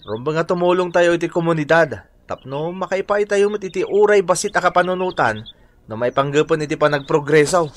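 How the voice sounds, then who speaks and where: A man's voice: on the high side, animated, a little fast, lightly acted. A man with an Ilocano Filipino accent acting an eager, helpful young village volunteer in a radio drama. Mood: earnest.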